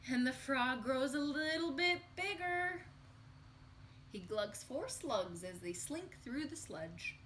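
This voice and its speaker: Bright, slow-paced woman reading to children. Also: high voice, slight background noise. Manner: sing-song, expressive delivery for young children. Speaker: teacher reading a picture book aloud to children. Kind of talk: children's read-aloud storytelling.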